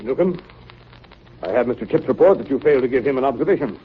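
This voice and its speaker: An actor playing a stern, authoritative navy captain in a 1930s radio drama, speaking formally and with command. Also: male voice, mid-range voice, expressive, quick pace, natural voice. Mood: stern restraint. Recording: noisy, tinny 1930s radio broadcast.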